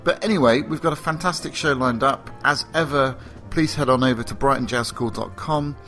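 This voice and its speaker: A man hosting a podcast, talking casually and enthusiastically with lots of fillers, a bit sleepy and croaky. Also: medium pitch, fairly quick, sharp tone, faint music behind. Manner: rambling, enthusiastic, frequent fillers. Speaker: podcast host.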